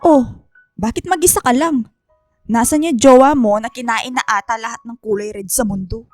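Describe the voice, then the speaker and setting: Actress as gossipy best friend, high and sassy. Filipino accent, blunt radio drama delivery, dry sound over music. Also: female voice, normal speed, dry recording, close to an everyday voice. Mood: teasing, disapproving. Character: blunt, gossipy young female student friend, teasing.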